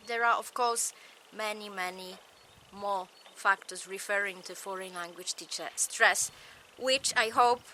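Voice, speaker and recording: A woman with a Polish accent, a native Polish speaker, giving a recorded lecture in slow, measured, short phrases. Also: mid-range voice, pushed and bright, slight background noise, low-quality recording.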